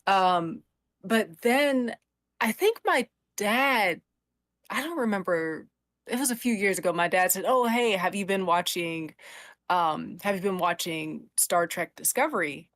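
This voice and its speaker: A woman's voice: medium pitch, measured pace, fairly bright tone, no room sound. A woman podcast panelist chatting casually and emphatically as a fan. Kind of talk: casual chat about a TV show.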